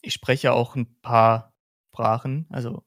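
A man's voice: medium pitch, flat delivery, slow, a bit bright, no room sound. Man chatting casually, close dry sound. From Hesse, Germany. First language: German. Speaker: podcast host. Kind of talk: casual podcast chat.

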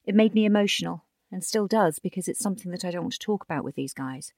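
A woman's voice: lowish voice, expressive, quick pace, darkish tone, no room sound, clean studio sound. A woman narrating a written magazine feature as a scripted audio read. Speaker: narrator reading a magazine article.

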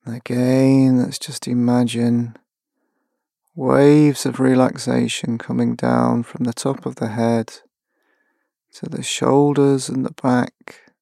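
Guy guiding a meditation, really slow and gentle, gravelly voice, long pauses, very dry sound. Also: medium pitch, flat delivery, dry recording. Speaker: meditation guide. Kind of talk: guided mindfulness meditation.

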